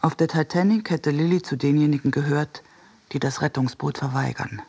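A reader delivering a scripted literary essay for radio in a measured, deliberate way. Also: medium pitch, a little mellow, slightly rough.

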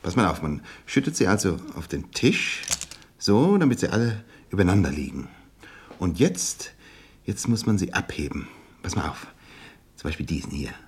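Radio drama role: ingratiating bachelor businessman, low husky voice that swings widely, hesitant and gushing. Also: big pitch swings, moderate pace, slight background noise. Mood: jovial, coaxing. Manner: gushing, hesitant, chuckling between phrases. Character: effusive, ingratiating bachelor businessman, clumsy flatterer. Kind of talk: naturalistic German radio drama acting.

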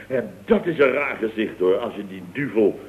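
Muffled, band-limited old radio sound carries a middle-aged male boss in a comedy. He is jovial and blustering. He banters sarcastically and exclaims often. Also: on the low side. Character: blustering, jovial, mocking boss of a building firm.

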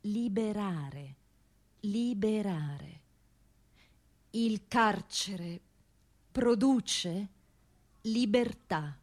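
A woman from Rome, an actress delivering a narrative stage monologue deliberately, phrase by phrase with pauses. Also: medium pitch, a little mellow. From Rome, Italy.

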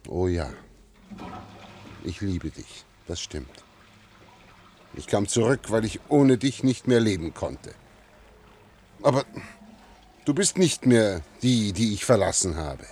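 An actor playing a weary, stubborn husband in a German science-fiction radio drama, emphatic and irritable. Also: male voice, lowish voice, sing-song, slow, long pauses, darkish tone. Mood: resigned, bitter. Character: weary, resentful husband and office worker, stubbornly refusing. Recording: noisy vintage radio play recording.

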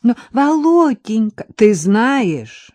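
Woman doing a creaky frail old granny. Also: fairly high pitch, very animated, a little mellow, voice pushed up, exaggerated voice. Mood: pleading, tearful. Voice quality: creaky, thin. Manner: pleading, drawn-out. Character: frail tearful old grandmother, creaky-voiced.

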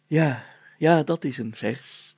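Storybook mayor, played by a male reader: pompous and solemn, pitched up, with a gravelly edge. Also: slow pace, a little mellow, no echo, full character voice. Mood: proud. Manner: solemn, declamatory, grandly formal. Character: pompous, proud village mayor, secretly a poet. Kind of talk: acted storybook dialogue.

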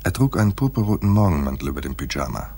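Husky, very deep-voiced hard-boiled detective. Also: male voice, very animated, very fast, a little mellow, full character voice. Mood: dry, detached. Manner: laconic, dry, terse. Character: hard-boiled male private detective narrating his own case. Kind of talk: noir radio play, first-person narration.

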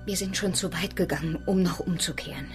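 A woman's voice: fairly low pitch, a little fast, close to an everyday voice. Actress as a determined woman sailor over music. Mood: impassioned. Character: determined, proud woman sailor, recovering from injury. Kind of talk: German radio drama acting. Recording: studio radio drama with music underneath.

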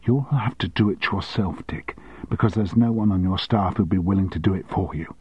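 A man voicing an indignant, sharp-tongued longtime woman secretary with cutting, sarcastic retorts, on a dry, slightly dull recording. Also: medium pitch, expressive, normal speed, slightly rough, no echo, voice pushed up.

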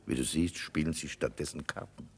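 An actor playing an affable but evasive governor, a high official, in a classic German radio play. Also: male voice, brisk, creaky. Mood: dismissive, evasive. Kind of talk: mid-century German radio play acting.

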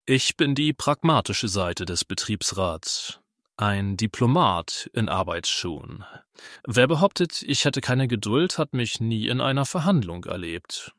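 An actor playing a pragmatic, sarcastic works council member, speaking in a measured way. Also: male voice, on the low side, animated, fairly bright tone, no echo, clean studio sound. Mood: dry, firm. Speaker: actor in satirical radio play. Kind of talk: German radio play acting.

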